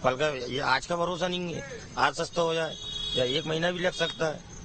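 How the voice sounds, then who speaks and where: A man's voice: a little high, moderate pace, sharp tone. A young man selling vegetables at an outdoor market, plainly listing prices into a reporter's handheld mic. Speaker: vegetable vendor. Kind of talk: vox pop answer.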